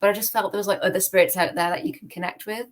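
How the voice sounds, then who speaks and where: A woman's voice: mid-range voice, steady tone, rapid-fire. A young British woman telling her personal story candidly as an interview guest, in an informal, reflective way peppered with "like" and "you know". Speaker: podcast guest giving testimony.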